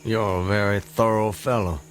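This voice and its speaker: An actor playing a proud, resolute Southern planter of the Civil War in a radio drama, speaking formally and gravely. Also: male voice, lowish voice, fairly even intonation, normal speed, natural voice. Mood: guarded, bitter. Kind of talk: radio drama acting, narrating and dialogue.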